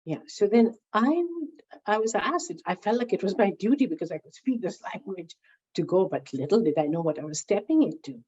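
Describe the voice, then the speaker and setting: A woman being interviewed, telling stories from her past in an animated way and repeating words as she gathers her thoughts. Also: mid-range voice, normal speed, breathy edge. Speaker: interviewee, founding member recalling early days. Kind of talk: reflective storytelling interview. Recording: slightly dull lo-fi sound.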